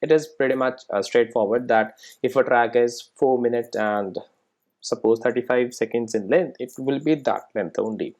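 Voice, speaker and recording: A tutorial instructor with a medium-pitched voice explains code step by step. The delivery is informal and instructional, with frequent little check-in questions to the learner. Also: moderate pace, darkish tone. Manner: informal, frequent check-in tags like "right?" and "make sense?". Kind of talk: screen-recorded coding tutorial, explaining step by step.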